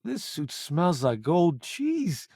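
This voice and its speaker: Glib celebrity gourmand in a space game: higher male voice, hugely animated swings, sardonic and showy. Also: takes it slowly, slightly rough, no room sound, studio quality, heavily acted. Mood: sardonic, teasing. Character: flamboyant, wisecracking male celebrity food critic turned spaceship crewmate. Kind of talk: video game character voice acting.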